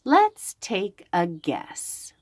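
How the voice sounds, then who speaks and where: A woman voicing an excited, playful storybook parent who coaxes a nervous little child in an exclamatory, encouraging singsong. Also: mid-range voice, extremely slow, no echo, heavily acted. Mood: gentle, coaxing.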